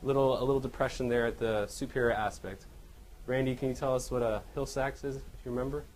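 A man presenting radiology cases as a teaching lecture, halting and often correcting himself, with questions to his listeners. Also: medium pitch, steady tone, darkish tone. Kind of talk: medical case lecture with questions to the audience. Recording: noisy live lecture room recording.

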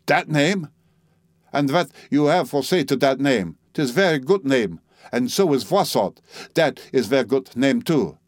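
A man's voice: medium pitch, lively intonation, measured pace, no echo, studio quality, close to an everyday voice. A man voicing an indignant eighty-two-year-old French woman who speaks broken English with a French accent. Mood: haughty. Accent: put-on French accent.

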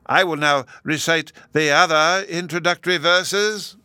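A man's voice: on the high side, fairly even intonation, measured pace, pushed and bright, clear, resonant tone, no room sound, studio quality, pitched above the performer's own voice. A man voicing a befuddled, kindly old professor in a storybook, with a hesitant, singsong delivery. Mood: reciting. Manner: dithering, hesitant, singsong and theatrical.

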